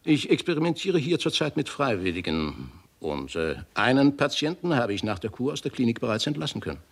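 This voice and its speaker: An actor playing a learned clinic researcher in a 1960s radio drama, deliberate and lecturing in his delivery. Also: mid-range voice, sing-song, breathy edge. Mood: learned, explaining.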